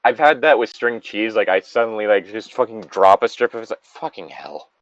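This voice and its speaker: A man's voice: on the low side, a little fast, no room sound. A man co-hosting a casual story reading, drifting into tangents and exasperated, sweary asides. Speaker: co-host reading a story aloud with commentary. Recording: dull lo-fi microphone.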